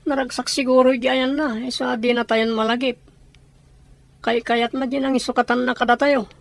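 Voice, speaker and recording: A bitter young boy voiced by a woman, the older brother in a radio drama. The voice is high and sullen, resentful in delivery. The sound is dry and close. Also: flat delivery, moderate pace, dry recording, natural voice.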